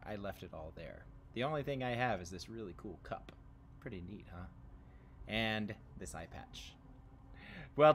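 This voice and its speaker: An American man in his thirties, a music teacher, introducing a read-along to children in an expressive, direct way. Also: medium pitch, a little hiss or hum. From Tampa, Florida, United States. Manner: expressive, addressing young viewers directly. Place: outdoors by a brick house wall.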